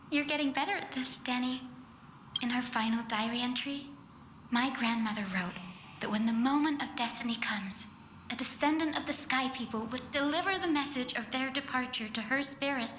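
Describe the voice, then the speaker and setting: Casting: gentle young healer for fantasy game, tender and caring, higher register, slight room sound. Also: female voice, moderate pace, slight background noise. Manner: soft, tender, reassuring. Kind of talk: video game story voice acting. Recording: slightly roomy, music underneath.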